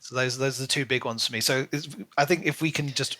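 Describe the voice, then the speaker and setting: A man with a bright, forward voice at a middling pitch talks casually as a podcast co-host. He is chatty and hedges as he goes, thinking through his opinions on books aloud. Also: quick pace. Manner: chatty and hedging, with frequent "like" and "you know". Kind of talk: casual book discussion.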